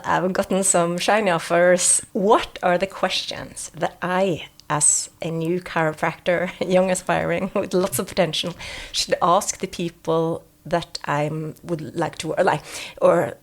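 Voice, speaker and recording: A woman hosting a podcast, her voice sitting a little low. She welcomes her guest and asks questions with warm eagerness. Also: a little slow, creaky. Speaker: podcast host.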